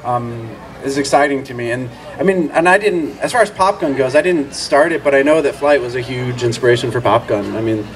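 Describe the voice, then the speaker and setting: Fast-talking, rambling man on a panel. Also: medium pitch, a little hiss or hum, rough recording. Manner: rapid, rambling, self-correcting. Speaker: comics editor, panelist. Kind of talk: panel discussion answer. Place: outdoor book fair stage in a park.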